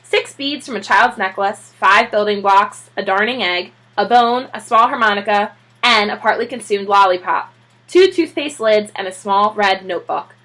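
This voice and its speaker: A woman reading a children's story aloud as a teacher, in an expressive storytelling style. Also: medium pitch, moderate pace, low-quality recording.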